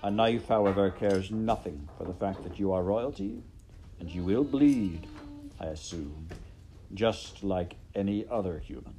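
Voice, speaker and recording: A man voicing a villainous rat in a storybook, smooth-talking, formal, pompous and menacing. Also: low-pitched, unhurried, warm tone. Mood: smooth menace.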